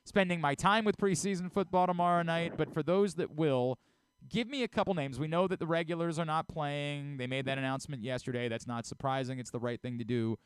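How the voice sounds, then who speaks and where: A man's voice: on the high side, a little fast, clear, resonant tone, no room sound. A man hosting a sports radio show, talking and interviewing in an emphatic, opinionated way.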